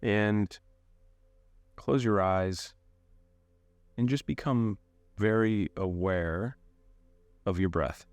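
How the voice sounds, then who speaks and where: A man leading a guided meditation in a calm, unhurried way, giving gentle instructions with long pauses. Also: fairly low pitch, no room sound.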